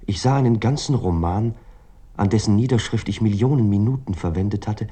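A brooding, jealous lover narrates a literary radio drama. The voice is low, dark and husky. The delivery is measured and introspective, with a grave, tormented temper. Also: male voice.

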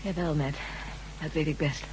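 A woman playing the hero's gentle, devoted sweetheart in a radio drama, soft and attentive. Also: low voice, fairly even intonation, moderate pace, a little hiss or hum, lightly acted, low-quality recording. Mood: gentle, concerned. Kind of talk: intimate radio drama acting.

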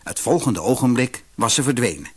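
A man narrating a children's fairy tale audiobook in an expressive storytelling manner. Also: mid-range voice, fairly quick, breathy edge.

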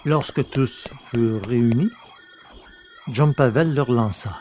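A man's voice: mid-range voice, slow pace, a little mellow, slight background noise. A man narrating a scripted science fiction adventure story in a literary, storytelling style.

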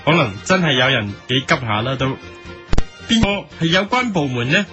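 A man's voice: mid-range voice, very animated, moderate pace, sharp tone, highs cut off, faint music behind. A man playing a mock-serious, indignant television editorial presenter with a Hong Kong Cantonese accent, emphatic in a parody broadcast.

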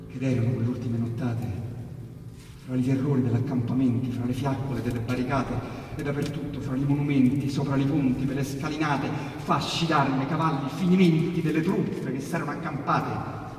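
A man with a Roman accent giving a theatrical, declamatory recital of narrative poetry, with dramatic pauses and swells. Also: medium pitch, steady tone, a little mellow. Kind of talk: theatrical dramatic storytelling of verse with piano. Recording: very echoey hall, distant mic. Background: piano accompaniment.

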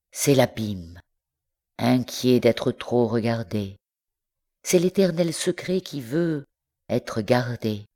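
Slow-paced woman reciting poetry. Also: rumbling low, dark tone. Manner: measured, deliberate phrasing with pauses. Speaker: poetry reader.

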